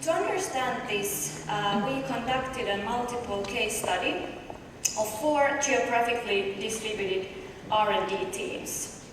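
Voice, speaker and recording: A woman with a Finnish accent presents a research paper, her voice a touch higher than most and pitched to carry. She is heard from well back in a big hall with long reverb and a steady hiss behind her. She explains step by step and poses questions to the audience. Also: a little slow. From Finland. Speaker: conference presenter. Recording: echoey distant hall recording with noise.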